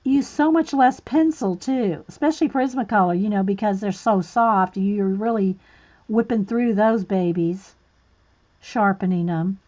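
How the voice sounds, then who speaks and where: A woman hosting a casual painting livestream, chatting with viewers and muttering soft asides while she works at a table. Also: mid-range voice, unhurried, dull audio. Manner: chatty, reads and answers viewer comments. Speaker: art livestream host. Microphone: overhead camera on hands and page.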